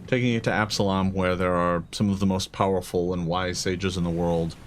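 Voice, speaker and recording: Man playing a grave, low-voiced stern woman. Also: fairly even intonation, a little hiss or hum, lightly acted. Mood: grave, worried. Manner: formal, grave, measured wording. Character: stern, worried woman entrusted with a dangerous deck.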